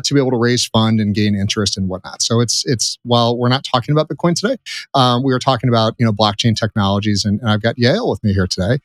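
A man hosts a podcast in an easygoing, unhurried way, filling his sentences with "uh" and "you know". His voice sits in a middle pitch. The sound is dry and close, with no room around it. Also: no room sound. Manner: relaxed, lots of "uh" and "you know". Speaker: podcast host. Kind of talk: casual podcast interview.

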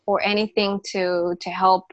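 A woman whose first language isn't English explains food and health in casual, emphatic talk. She repeats words and restarts phrases, in a middle-pitched voice. The sound is dry, with no room echo. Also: level tone, a little slow, highs cut off. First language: not English. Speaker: natural health coach, podcast guest.

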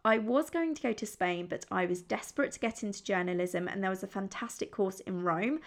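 A woman's voice: medium pitch, fairly quick. A young woman from England hosting her own podcast, talking in a chatty, upbeat, confiding way. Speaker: podcast host. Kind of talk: solo podcast chat.

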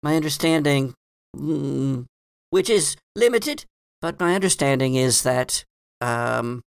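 A man voicing a knowing, cautious female librarian in a tabletop game, halting and repeating herself as she searches for words. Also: mid-range voice, smooth, no echo, studio quality, pitched above the performer's own voice. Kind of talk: tabletop game character dialogue.

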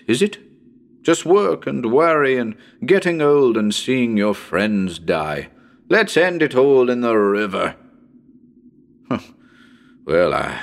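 A man playing a nervous radio broadcaster on a ghost hunt in a dramatic reading, talking to listeners in a chatty, hesitant, uneasy way. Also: fairly low pitch. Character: nervous male radio broadcaster ghost hunting in haunted house.

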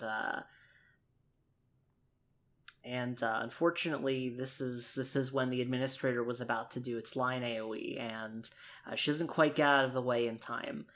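A man's voice: medium pitch, fairly bright tone. A man improvising narration as a tabletop game player, hesitant and often circling back over his phrases.

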